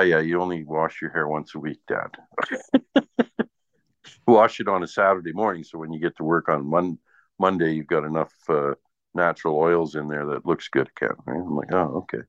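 A man in his sixties with a low-pitched voice, chatting casually. He rambles along in an easygoing way. The recording is dull and lo-fi. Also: fairly bright tone. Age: 60s. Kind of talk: casual chat. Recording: dull lo-fi audio in echoey room.

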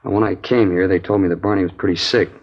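A man's voice: deep voice, steady tone, fast talker. An actor playing a plain-spoken, confiding male nurse informant in a 1950s radio drama. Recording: dull old radio recording.

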